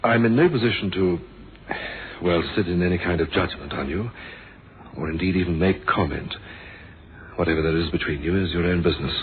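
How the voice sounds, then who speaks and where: Male actor as police inspector, deep, measured, polite, probing, radio mystery drama, muffled old recording with noise. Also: lightly acted. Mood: gentle, regretful. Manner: formal, measured, polite questioning. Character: courteous, probing police inspector, firm but humane.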